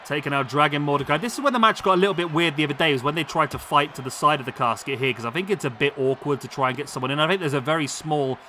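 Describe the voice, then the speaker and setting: A man with a hoarse, worn voice giving excitable play-by-play commentary on a video game match. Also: a little high, racing, hardly any pauses. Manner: excitable, bursts of exclamation. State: hoarse, losing his voice. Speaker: gaming YouTuber. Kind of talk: play-by-play commentary over a simulated wrestling match. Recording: clear background noise under voice.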